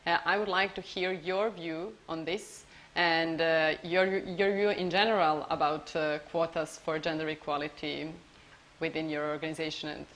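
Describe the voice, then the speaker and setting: A woman's voice: low voice, steady tone, moderate pace, fairly bright tone. A woman moderating an online panel and putting questions to a guest. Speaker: interviewer and moderator.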